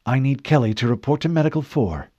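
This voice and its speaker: A man voicing a brisk, businesslike female military scientist in an audiobook. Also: medium pitch, lively intonation, dark tone, no echo, lo-fi sound, voice pushed up, natural voice. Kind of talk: audiobook character voice.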